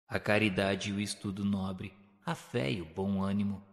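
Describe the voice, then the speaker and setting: Male Brazilian narrator, very low register, solemn formal delivery for scripted spiritual storytelling, long reverb. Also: steady tone, normal speed.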